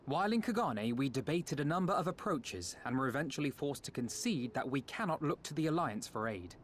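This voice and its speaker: A man's voice: a little high, lively intonation, a little fast, slight background noise, close to an everyday voice. A man playing a composed, scholarly strategist in a fantasy game, speaking precisely and formally with a wry edge. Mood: measured explaining.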